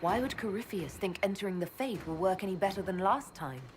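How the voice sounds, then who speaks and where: A woman's voice: mid-range voice, lively intonation, fairly quick, background noise, lightly acted. A woman playing a firm, pragmatic heroine and leader in a video game, with a direct, assertive delivery. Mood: firm, persuasive. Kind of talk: video game cutscene acting.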